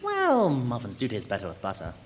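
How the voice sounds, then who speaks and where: A man's voice: medium pitch, huge pitch swings, brisk. A man playing a teasing, bemused male time-traveling pony from a fan radio play, probing dryly in convention banter. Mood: contrary, playful. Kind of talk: in-character improvised convention banter. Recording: echoey, noisy convention hall.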